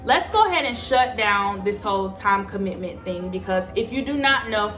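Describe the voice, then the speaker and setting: A woman's voice: mid-range voice, animated, normal speed, fairly bright tone, reverberant, soft background music. A woman in her twenties giving casual, emphatic advice to her viewers. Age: 20s. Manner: chatty, emphatic, addresses viewers as y'all. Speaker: vlogger. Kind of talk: casual advice chat to camera.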